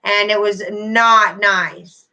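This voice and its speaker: A woman's voice: mid-range voice, steady tone, drawn-out words, bright, forceful tone. A middle-aged woman hosting a casual livestream, chatting to viewers by name with endearments. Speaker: YouTube livestream host.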